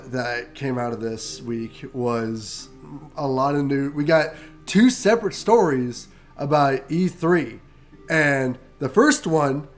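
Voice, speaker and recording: A man co-hosting a casual gaming chat, nasal from a cold, joking and rambling. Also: medium pitch. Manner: laid-back, joking, rambling. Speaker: podcast co-host.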